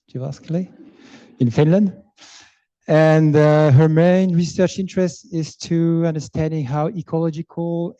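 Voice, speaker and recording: A man hosting a symposium, giving a formal, measured introduction of a speaker with some hesitation. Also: a little high, dark tone, no echo, dull audio.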